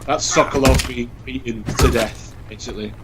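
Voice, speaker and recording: A man's voice: medium pitch, fairly even intonation, normal speed, heavy background noise. A British man giving casual co-commentary on a video game. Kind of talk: casual gameplay commentary chat. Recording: loud game music behind.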